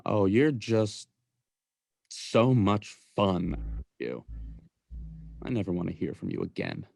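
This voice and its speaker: A woman playing an exasperated, low-voiced shady uncle. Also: wildly swooping pitch, takes it slowly, vocal fry, mellow, dry recording, clean studio sound, full character voice. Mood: bitter. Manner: incredulous, pleading. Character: exasperated, shady adult uncle, male watch peddler. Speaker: student actor in radio play. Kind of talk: radio play acting.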